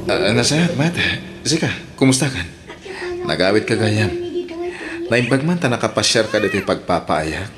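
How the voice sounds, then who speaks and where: A man's voice: on the low side, very animated, moderate pace, a crowd in the background. A man playing an earnest, emotional young suitor in a radio drama, with an Ilocano accent. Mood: cordial.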